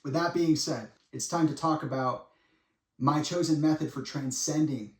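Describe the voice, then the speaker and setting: A man in his twenties giving a monologue to viewers in a dramatic, deliberate way, with pauses and blunt asides. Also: on the high side. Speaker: speaker making a personal public statement. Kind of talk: semi-prepared monologue addressed to viewers. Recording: echoey room, distant microphone.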